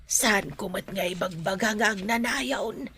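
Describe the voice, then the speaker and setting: A woman's voice: medium pitch, measured pace, bright, forceful tone. A woman acting as a defensive mother in a radio drama. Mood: resigned. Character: defensive mother standing up for her child.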